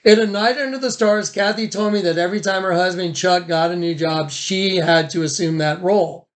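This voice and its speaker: A man's voice: sits high, a little fast. A man hosting a recorded phone conversation, talking in a casual, informal and somewhat rambling way. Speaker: host recording a phone statement. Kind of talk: casual, conversational documenting talk.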